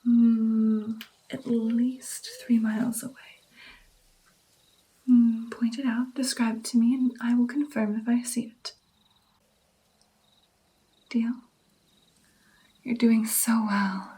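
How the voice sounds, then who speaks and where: A woman plays a female vampire mentor in an intimate ASMR roleplay. The voice is soft, mellow and slightly high, often sinking into a breathy whisper. Each phrase is unhurried, coaxing the pupil along with gentle pride and playful affection. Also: little pitch movement, low-quality recording. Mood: encouraging, admiring. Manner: slow, coaxing, encouraging, lingering over phrases. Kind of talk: ASMR roleplay, personal attention.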